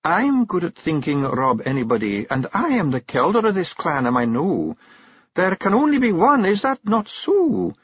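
A man's voice: on the high side, sing-song, slightly rough, no echo, lo-fi sound, voice pushed up. A man voicing a sweetly menacing Scottish fairy wife. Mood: sweetly stern. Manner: sweet, measured and quietly menacing. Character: shrewd young fairy wife and clan mother.

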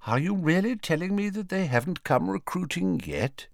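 A man voicing a serene, courteous, very old wizard headmaster in an audiobook, measured and gently ironic. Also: high voice, lively intonation, creaky, dry recording, studio quality, pitched above the performer's own voice. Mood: pointed. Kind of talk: audiobook character voice.

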